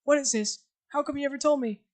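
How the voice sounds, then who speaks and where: A woman's voice: sits high, quick pace, darkish tone, voice pushed up, close to an everyday voice. A woman voicing a troubled grown son in a casual screenplay reading, with a strained, insistent delivery. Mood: upset.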